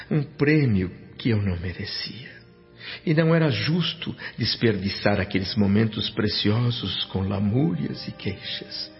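A Brazilian man acting an emotional, earnest grown son in the spirit world, with formal, dramatic radio drama delivery. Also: fairly low pitch. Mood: moved, reflective. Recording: dull lo-fi audio under music.

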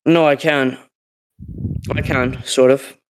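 A man's voice: mid-range voice, fairly even intonation, slow, slight background noise, poor audio. A young man with a cold, telling a story in a casual podcast chat, rambling with many fillers and restarts. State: sick, sweating. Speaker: podcast co-host.

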